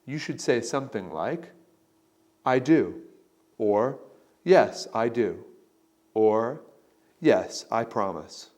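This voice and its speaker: A man slowly reading model answers for a practice interview, pronouncing each one deliberately. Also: mid-range voice, expressive, a little mellow. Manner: slow, deliberate, model answers read with emphasis. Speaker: instructor giving sample answers. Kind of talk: scripted instructional narration.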